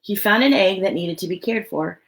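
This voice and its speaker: Creaky-voiced woman reading a children's story. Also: mid-range voice, normal speed. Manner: child-directed, simple, emphatic storytelling with chatty asides. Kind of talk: expressive picture-book read-aloud with casual asides.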